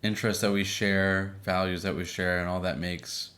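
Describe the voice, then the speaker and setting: A man in his thirties co-hosting a podcast with his wife, chatting casually with a relaxed, filler-laden manner. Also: low-pitched, moderate pace. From Boston area. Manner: relaxed, many fillers and restarts. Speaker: podcast co-host. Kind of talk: casual chat.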